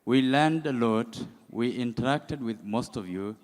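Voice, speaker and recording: A Kenyan-accented man speaks off the cuff at a public event in a smooth, resonant voice. He goes slowly and haltingly, and the big hall echoes around him. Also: medium pitch. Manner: halting, hesitant. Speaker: youth director, summit delegate. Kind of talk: impromptu public remarks. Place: large indoor conference hall. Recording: echoey hall, long reverb.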